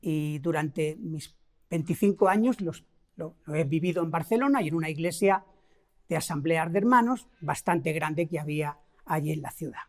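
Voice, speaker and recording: A woman's voice: low-pitched, moderate pace, darkish tone. A middle-aged woman from Spain with a Spain Spanish accent, preaching a sermon, asking the congregation rhetorical questions and stressing key words.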